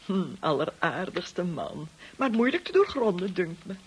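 An actress playing an imperious, gossipy older rich woman in a radio drama, husky and haughty, with a complaining drawl. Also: fairly low pitch, very animated, a little slow, full character voice.

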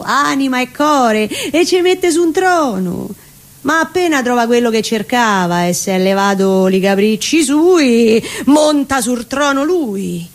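A woman with a Roman accent playing a cunning, cynical female scorpion in a comic verse fable, matter-of-fact and self-justifying. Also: fairly high pitch. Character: cunning, cynical female scorpion who kills her lovers.

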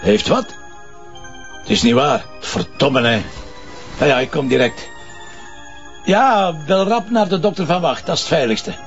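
Stubborn Flemish police commissioner, slightly muffled. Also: male voice, high-pitched, sing-song, unhurried, gravelly, pauses often, faint music behind, exaggerated voice. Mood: alarmed. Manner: dismissive, wry. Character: stubborn, evasive, sardonic middle-aged male police commissioner. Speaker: actor playing a police detective. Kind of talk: naturalistic TV crime drama dialogue. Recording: dull, lo-fi TV soundtrack.

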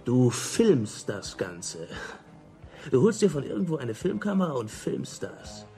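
A laid-back man in a radio play, talking at a slow, drawn-out pace in a medium voice. He is insistent and emphatic, and music plays behind him. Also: medium pitch, sing-song, mellow. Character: laid-back man patiently explaining his odd ideas. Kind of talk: naturalistic radio play dialogue.

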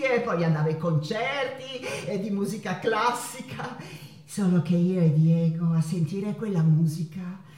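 Frida Kahlo, high-voiced, theatrical, irreverent. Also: female voice, fairly bright tone. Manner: dramatic, mocking, drawn-out exclamations with bursts of laughter. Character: Frida Kahlo, spirited, irreverent Mexican woman painter reminiscing. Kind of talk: theatrical stage monologue. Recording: roomy, distant stage recording with guitar. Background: acoustic guitar music.

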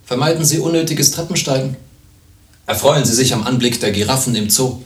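An actor playing a pompous, absurdly learned doctor in a satirical radio play, pedantic and deadpan. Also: male voice, mid-range voice, fairly quick, lightly acted. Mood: deadpan advising. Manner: pedantic, deadpan, Latin-laced pronouncements.

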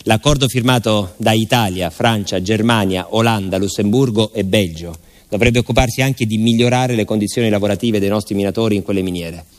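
A man giving solemn, measured, scripted documentary narration for radio theatre, with music behind him. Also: lowish voice. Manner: solemn, measured, deliberate phrasing. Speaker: actor-narrator.

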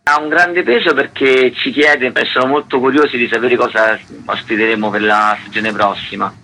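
A man's voice: mid-range voice, steady tone, normal speed, sharp tone, poor audio. A man speaking conversationally as a theatre festival co-director in a radio interview.

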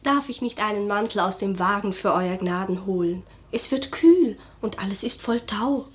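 A woman's voice: medium pitch, expressive, moderate pace, natural voice. A woman playing a young, homesick, lovesick maidservant in a radio play, wistful and plaintive. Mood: hushed, watchful. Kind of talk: theatrical German radio play acting.

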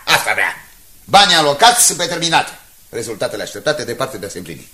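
Tense, harried newspaper manager. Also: male voice, mid-range voice, sing-song, bright, forceful tone. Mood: worried, agitated. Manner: tense, agitated, earnest. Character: anxious, harried newspaper manager under pressure. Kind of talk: 1980s Romanian radio drama acting.

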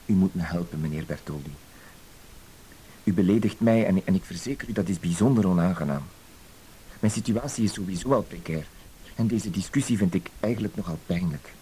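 An actor playing a timid, dutiful husband with wounded dignity, hesitant, wordy and apologetic, in a radio play. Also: male voice, deep voice, a little fast, mellow, lightly acted, poor audio. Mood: pained, pleading. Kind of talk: Dutch-language radio play acting. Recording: hissy old radio play recording.

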